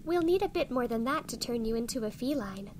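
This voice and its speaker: A woman voicing an encouraging young witch friend in a soft, patient ASMR roleplay, with fizzing, bubbling effects. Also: on the high side, lively intonation, fairly quick, slight background noise, lightly acted. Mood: helpful, friendly. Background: fizzing, bubbling sound effects.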